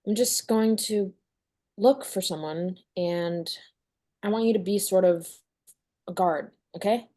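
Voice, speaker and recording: Mellow, weary teenage girl, read by a woman. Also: mid-range voice, measured pace, long pauses, close to an everyday voice. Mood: earnest, pleading. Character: weary, anxious teenage girl, stubborn and secretive. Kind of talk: table read of a horror film script.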